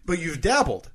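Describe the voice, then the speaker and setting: A man's voice: fairly high pitch, fairly bright tone. A man co-hosting a podcast in casual chat, with a teasing, joking manner. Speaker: podcast co-host.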